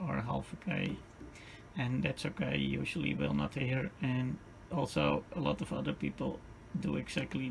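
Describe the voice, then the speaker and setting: Male tutorial host, strongly gravelly creak, halting step-by-step technical delivery, noisy home-made sound. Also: mid-range voice. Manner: halting, piecemeal explaining with repeated phrases. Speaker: radio repair tutorial host. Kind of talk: unscripted hands-on technical demonstration.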